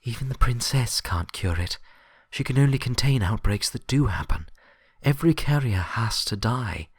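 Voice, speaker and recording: A man's voice: mid-range voice, animated, normal speed, slightly rough, dry recording, studio quality, voice pushed up. A man voicing a stern, pragmatic female unicorn librarian in a story, reasoning firmly and grimly. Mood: grim, insistent. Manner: sharp-edged, reasoning firmly.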